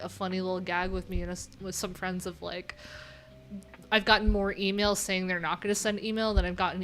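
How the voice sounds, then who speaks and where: A woman's voice: medium pitch, a bit bright, slight background noise. A woman livestream host chatting casually and reading things aloud, with wry, informal, rambling asides. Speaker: livestream host, web developer.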